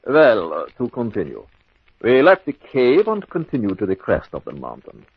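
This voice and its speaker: An actor playing a cold-blooded murderous husband in an old-time radio mystery, speaking in a formal, measured, theatrical way. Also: male voice, mid-range voice, wildly swooping pitch, breathy edge, dry recording, heavily acted. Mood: feigned composure. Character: smooth, cold-blooded husband plotting his wife's murder. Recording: dull lo-fi old radio recording.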